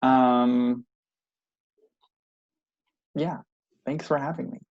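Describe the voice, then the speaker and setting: A man in his thirties from Indiana introducing himself as a podcast guest on a video call in an unhurried way. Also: medium pitch, steady tone, highs cut off. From Indiana, United States. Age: 30s. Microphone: video call from home.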